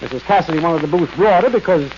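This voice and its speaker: A man's voice: fairly high pitch, fast, natural voice. An American man acting a put-upon, sardonic neighbor in an old-time radio sitcom, wry and long-suffering, on a noisy, muffled recording. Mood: griping.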